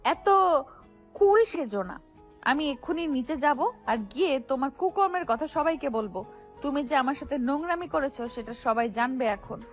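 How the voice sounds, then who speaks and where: A woman acts out a feisty young wife with a very high voice. She is dramatic, slangy and quick to scold, in the manner of an audio love story. Faint music plays behind her. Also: animated. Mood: flustered, defiant. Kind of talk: audio romance story acting.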